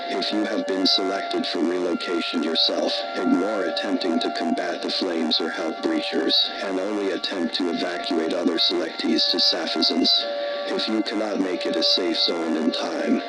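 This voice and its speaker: A man's voice: low-pitched, lightly acted, rough recording. A man playing a commanding military base announcer, giving formal, authoritative emergency orders from a script.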